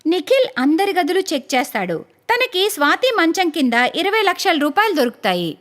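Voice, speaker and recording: A woman narrating a cartoon moral story in a lively storytelling manner. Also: very high voice, a little fast.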